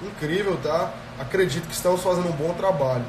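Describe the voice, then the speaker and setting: A man's voice: high voice, quick pace, roomy sound, recorded from a distance, background noise. A man in his thirties with a Brazilian Portuguese accent hosts a live stream as a productivity coach. He has a cold and talks straight to viewers, checking in with them often. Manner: energetic, addresses viewers directly, frequent "tá?" checks. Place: small indoor room. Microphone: earphone headset mic on a phone.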